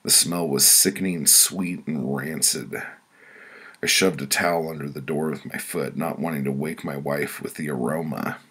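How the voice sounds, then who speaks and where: A man with a rough, gravelly voice reading his own crude, profane comic stories in a casual, blunt first-person way. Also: very deep voice, fairly even intonation, moderate pace, pushed and bright. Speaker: author reading their own audiobook.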